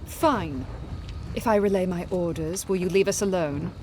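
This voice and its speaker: An actress playing a stern, defiant military commander in a video game, clipped and authoritative. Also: female voice, lowish voice, normal speed, mellow, slight background noise, lightly acted. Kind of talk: scripted video game dialogue.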